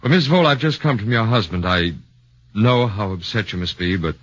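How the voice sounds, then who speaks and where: An actor playing a shrewd middle-aged defense lawyer who questions in a measured, probing way, in 1940s radio acting. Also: male voice, lowish voice, lively intonation, fairly bright tone. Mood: earnest. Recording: dull 1940s radio broadcast.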